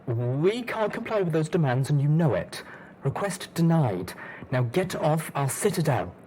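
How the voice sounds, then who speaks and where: A man voicing a defiant armored female pony knight in a clipped, forceful manner for a story reading. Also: on the high side, big pitch swings, unhurried, a little hiss or hum, pitched above the performer's own voice.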